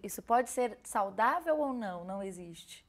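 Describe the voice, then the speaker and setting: A woman's voice: mid-range voice, animated, moderate pace. A woman host interviewing a guest, asking open questions and affirming with short interjections.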